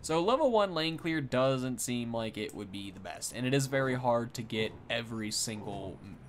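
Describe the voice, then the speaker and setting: A man's voice: mid-range voice, animated, normal speed. A young man hosting a gaming video, thinking aloud in casual, rambling, unscripted commentary. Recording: clear background noise from game. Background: video game sounds.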